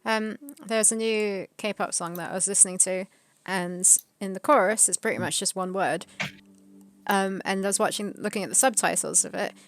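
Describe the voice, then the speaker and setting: A giggly, animated woman in casual stream chat, reading viewer messages aloud. Faint music runs underneath. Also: medium pitch, moderate pace.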